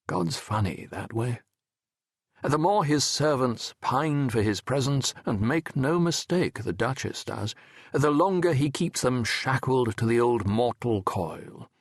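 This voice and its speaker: A man narrating an audiobook as a wry, reflective French medical professor telling his memoir in a measured, erudite, wistful way. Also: mid-range voice, slightly rough, dry recording, clean studio sound. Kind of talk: scripted first-person literary narration.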